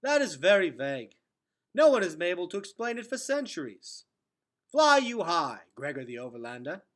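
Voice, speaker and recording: A man voicing a kindly, wise male elder in a storybook, with measured, formal, old-fashioned phrasing. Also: high voice, big pitch swings, fairly bright tone, voice pushed up. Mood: brisk, dismissive.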